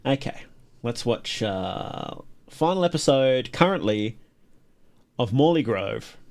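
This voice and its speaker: A man's voice: mid-range voice, animated, slow, darkish tone, a little hiss or hum. Enthusiastic man reacting on a livestream. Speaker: livestream host reacting to videos. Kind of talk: casual live reaction commentary.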